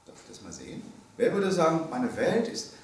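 The recording is roomy and heavily echoing, with the voice some way from the microphone. A man in his early seventies lectures with a very lively, swinging pitch. He is emphatic and deliberate, pausing on key words and posing rhetorical questions. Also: vocal fry. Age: early 70s. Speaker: lecturer, theology professor. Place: indoor seminar room. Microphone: microphone on a stand. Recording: very echoey room, distant, faint noise.

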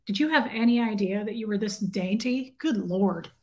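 A woman's voice: medium pitch, normal speed. A woman in her forties with a slightly scratchy, strained throat, chatting casually on a home livestream, distracted and greeting viewers by name. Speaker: livestream host. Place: home living room. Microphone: webcam at desk.

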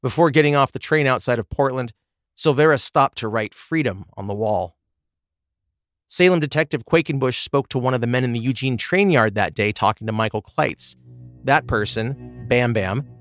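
A man's voice: medium pitch, animated, normal speed, a bit bright, soft background music. A man hosting a true crime podcast, reading a scripted narration. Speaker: podcast host.

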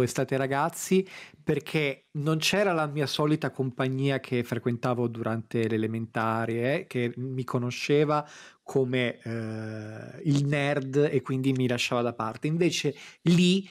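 A man chatting casually as a guest on a group stream, telling a story in halting, piecemeal phrases. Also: medium pitch. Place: indoor room, group video call.

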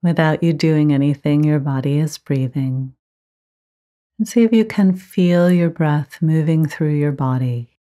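A woman teaching guided meditation, giving gentle, measured instructions with frequent pauses. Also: low voice.

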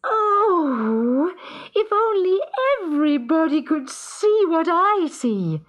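A woman voicing a bold, cunning young heroine in a storybook, singing out clearly and gleefully. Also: sing-song, slow pace, heavily acted. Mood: gleeful wonder. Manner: high, clear, singing out happily. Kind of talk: dramatised storybook reading.

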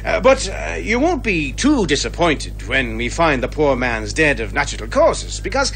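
A genial, bumbling inspector in a radio detective comedy. His voice is fairly high and lively, and he rambles along hesitantly in a folksy way, with faint music at times. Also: normal speed, heavily acted. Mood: affable, flustered. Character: bumbling, genial police inspector with creaky memory. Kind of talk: radio comedy drama acting.

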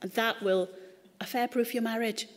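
A middle-aged woman speaking to a church congregation in a chatty, joking way. Her voice sits a little high for a woman, and the long reverb of a hall hangs on every word. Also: steady tone, normal speed. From Wales. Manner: chatty, humorous, conversational. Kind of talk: sermon talk.

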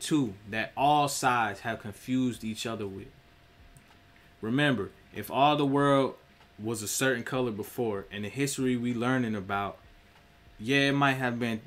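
A man's voice: mid-range voice, fairly bright tone. A young man, streaming from a small room, preaching about spirituality in a direct, profane way. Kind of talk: casual spiritual monologue.

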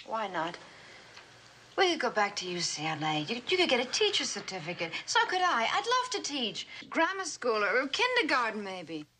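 An actress playing the urgent, pleading wife of a troubled pilot, emotional and insistent, in dramatic radio style. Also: female voice, on the high side, wildly swooping pitch, normal speed, pushed and bright, a little hiss or hum, exaggerated voice. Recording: old radio drama, faint music underneath.

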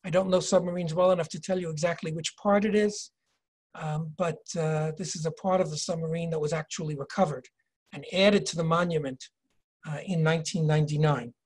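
An older man in his sixties leading a virtual tour lecture from home over a video call, measured and explanatory, reading inscriptions slowly. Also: fairly high pitch, fairly even intonation, slightly rough. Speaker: tour guide and educator. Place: home office, indoors. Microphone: video call webcam.

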